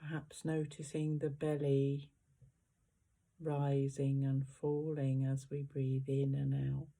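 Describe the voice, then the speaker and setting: Very slow, soft-toned meditation guide. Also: mid-range voice, completely flat delivery, vocal fry. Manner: gentle, unhurried instruction with long pauses.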